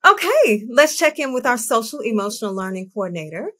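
A woman acting as a diplomatic, firm school principal in a drama. Her voice is fairly high, forceful and husky, and she reasons in measured phrases. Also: big pitch swings, full character voice. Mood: brisk, concerned.

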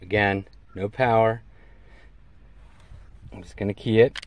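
A middle-aged man giving a casual, hands-on how-to in a halting way, recorded on a handheld camera outdoors by a vehicle. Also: fairly low pitch, steady tone. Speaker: CB radio hobbyist presenter. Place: outdoors in and beside a parked vehicle in an open field. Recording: clear background noise, outdoor ambience.

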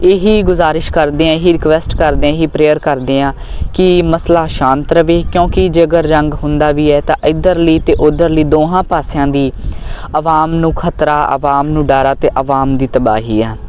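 A man's voice: on the high side, steady tone, normal speed, a little mellow, a little hiss or hum. An earnest, solemn man, speaking as a host who recites and discusses poetry. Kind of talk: spoken commentary with poetry recitation. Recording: muffled lo-fi audio with background music. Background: music.

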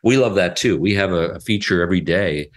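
A middle-aged man with a very low, deep voice talks easygoing and conversational. He rambles with restarts and small chuckles as he explains how radio works. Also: a little fast. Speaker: radio station president, guest. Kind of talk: conversational interview.

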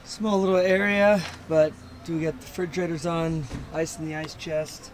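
An upbeat man with a slightly high voice talks casually, as if showing viewers around. He is informal and enthusiastic, hosting a vlog outdoors. Clear ambient and vehicle noise sits behind him. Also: fairly even intonation, normal speed. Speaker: vlog host. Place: outdoors at a forest campsite.

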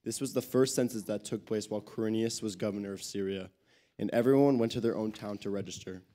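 A young man reading scripture aloud in church into a handheld microphone, a little haltingly. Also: lowish voice, warm tone. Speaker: scripture reader. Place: church sanctuary stage.

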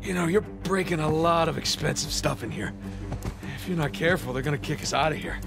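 A sarcastic American treasure hunter for a game. His pitch is on the high side and swings freely, with a gravelly crackle. He stays cocky and flippant under pressure, with loud music underneath. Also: lively intonation, a little fast. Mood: strained banter. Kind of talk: video game cinematic acting.

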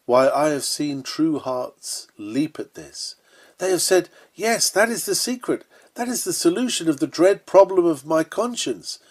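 A man's voice: on the high side, lively intonation, measured pace. Earnest man reading an old sermon. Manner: earnest, emphatic, solemn. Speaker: sermon reader. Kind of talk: read-aloud Victorian sermon, earnest preaching delivery.

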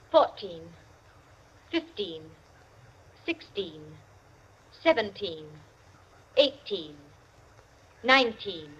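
An imperious society hostess speaks with slow, measured authority in a high voice. She is stern and determined, in vintage radio-drama style, on a muffled old recording. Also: a bit bright, slightly rough, full character voice. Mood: slow, tense. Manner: commanding, deliberate, measured. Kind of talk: 1940s radio acting.

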